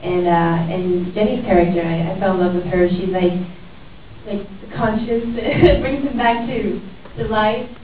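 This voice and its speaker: Actress from puerto rico gushing on a panel, halting and giggly, sounds far off in a big echoey hall with a crowd murmuring. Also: female voice, fairly low pitch, slight background noise. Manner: excited, halting, laughs between phrases. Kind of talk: panel Q&A answer. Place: large hall with an audience. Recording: far-off echoey hall, dull audience recording.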